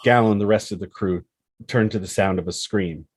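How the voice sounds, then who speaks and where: Man, slightly low husky voice, dry close sound, scripted narration of screenplay stage directions. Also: normal speed, darkish tone, no room sound.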